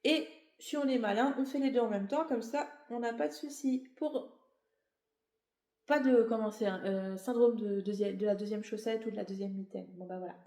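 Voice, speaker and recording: A woman's voice: a little high, normal speed, mellow. Chatty woman with a cold, echoey room. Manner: chatty, rambling, many fillers and self-corrections. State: has a cold; a bit tired. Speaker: knitting vlogger. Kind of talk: casual show-and-tell explanation.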